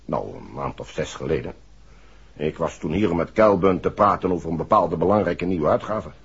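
Deep, very husky, earnest man. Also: expressive, moderate pace, full character voice. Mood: puzzled, guarded. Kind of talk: 1960s Dutch radio drama acting. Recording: muffled old radio recording.

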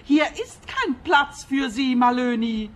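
An actress playing a spiteful, xenophobic old pensioner who scolds indignantly in a radio play. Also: female voice, high voice, slow pace.

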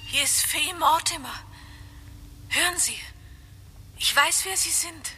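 A woman's voice: high-pitched, full character voice. An actress playing a mysterious woman caller who whispers an urgent warning down a distant phone line in a radio drama. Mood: eerie warning. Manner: hushed, breathy, slow warning. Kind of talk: German radio drama acting.

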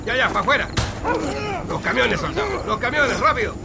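Gravelly, menacing soldier. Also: male voice, extremely high pitch, lively intonation, normal speed, very noisy, exaggerated voice. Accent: Chilean. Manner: threatening, clipped. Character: menacing soldier guarding blindfolded prisoners. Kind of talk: radio drama acting.

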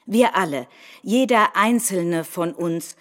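A woman's voice: lowish voice, expressive, slow, fairly bright tone. A woman acting in documentary theatre, playing a formal, insistent right-wing politician addressing an audience. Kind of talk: staged political speech.

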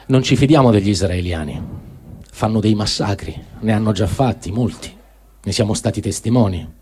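A man reads a first-person diary in speech, in measured, deliberate phrases, as a dramatic radio reading. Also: lowish voice, mellow, a little hiss or hum. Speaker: reader of written testimony on radio.

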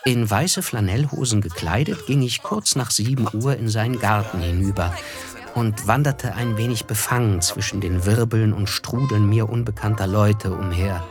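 An actor playing a reserved, observant young man who narrates a literary radio play in a measured way. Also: fairly low pitch, lightly acted. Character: reserved young male narrator, observant, slightly self-conscious. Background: music.

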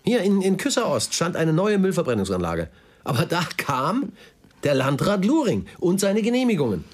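An agitated male witness in a naturalistic radio crime drama. His voice is husky and very animated in pitch. He sounds urgent and insistent, stumbling now and then. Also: moderate pace. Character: agitated male witness recounting a corruption case.

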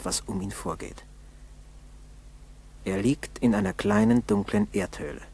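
A man narrating a scripted 1960s German school radio drama in a measured way with dramatic pauses. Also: fairly low pitch, darkish tone, breathy edge. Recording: noisy old 1960s radio recording.

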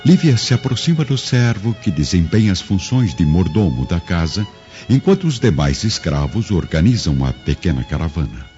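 A man with a very low voice narrates a radio drama with solemn, literary flair. His intonation is lively. The recording is dull, with prominent music behind him. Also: normal speed. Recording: muffled lo-fi recording under music.

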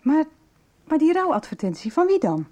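A woman's voice: sits high, big pitch swings, moderate pace, mellow. An actress playing a caring, inquisitive woman in a radio soap, speaking with concern. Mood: concerned, doubtful. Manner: concerned, questioning. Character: caring, inquisitive woman friend in a hospital bed.

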